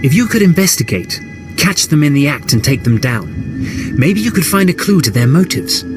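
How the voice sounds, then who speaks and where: A man's voice: a little high, sing-song, moderate pace, over music. A man voicing a disdainful imperial officer in a video game, deferential as he briefs his lord. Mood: contemptuous, concerned. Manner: contemptuous, briefing a superior.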